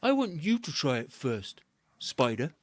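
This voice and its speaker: A storyteller voicing a suspicious, stubborn male monkey who refuses flatly and stammers a little. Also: medium pitch, unhurried, dry recording, studio quality.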